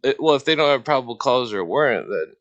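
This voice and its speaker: A man's voice: medium pitch, lively intonation, fast talker, a bit bright, highs cut off. A man chatting casually on a livestream, telling anecdotes in a rambling way with repeated phrases. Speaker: livestream talker. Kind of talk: casual chat.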